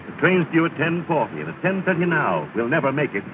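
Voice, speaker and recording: Fussy annoyed rich gent, voice all up and down, tinny 1930s movie audio. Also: male voice, a little high, sing-song, fast talker. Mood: exasperated. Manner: indignant, formal diction. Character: exasperated, fussy well-to-do gentleman passenger. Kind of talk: 1930s film acting. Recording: distant, tinny, noisy 1930 film soundtrack.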